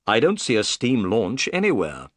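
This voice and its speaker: A man reading the part of a calm, polite detective in a storybook, speaking firmly and briskly. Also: fairly low pitch, no room sound. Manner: firm, clipped, quick delivery.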